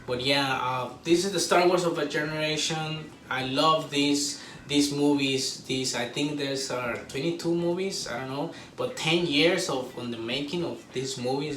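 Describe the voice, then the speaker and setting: A man giving a casual, excited fan review to camera, rambling informally and repeating himself. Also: fairly high pitch. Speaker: video creator reviewing a film. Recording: roomy echo, distant mic, faint noise.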